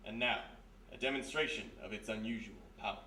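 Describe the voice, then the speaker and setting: A man's voice: on the low side, brisk, lightly acted. A man playing a grandiose, florid stage magician of the occult in solemn old-time radio mystery style. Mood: grandiose, mysterious. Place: live performance in a roomy historic house. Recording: echoey live stage, distant mic, hiss.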